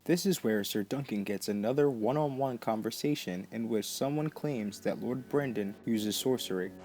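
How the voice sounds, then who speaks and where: A man's voice: mid-range voice, normal speed, darkish tone, soft background music. A man narrating a scripted biography video as a lore explainer, on a close microphone.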